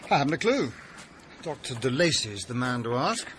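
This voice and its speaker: An actor playing an earnest, sporty young gentleman who is easily alarmed, in a period radio drama. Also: medium pitch, sing-song, normal speed, background noise, lightly acted. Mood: friendly. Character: young gentleman heir, sporty, nervous and excitable.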